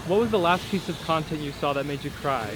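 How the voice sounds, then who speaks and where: A man interviewing a guest, asking short questions with quick, upbeat reactions. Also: a little high. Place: outdoors on a city street. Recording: outdoor street noise.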